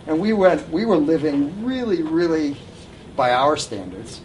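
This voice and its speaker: A man's voice: fairly high pitch, sing-song, a little slow, darkish tone, recorded from a distance, a little hiss or hum, rough recording. An older man telling informal anecdotes to a live audience, recorded at an event. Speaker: guest storyteller at a reunion event.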